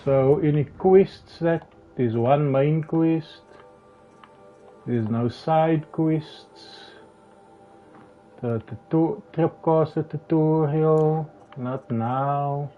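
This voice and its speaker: A slightly hoarse man commentating his game live, talking haltingly with many restarts and fillers. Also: on the high side. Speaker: game streamer. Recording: tinny phone-like sound with faint music.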